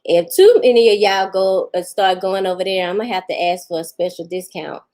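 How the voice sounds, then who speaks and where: A woman's voice: medium pitch, expressive, fairly quick, a little mellow. A woman, chatting casually with viewers on a live stream, laughing often and talking back to them. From Houston, Texas. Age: 40s. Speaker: vlogger answering live chat.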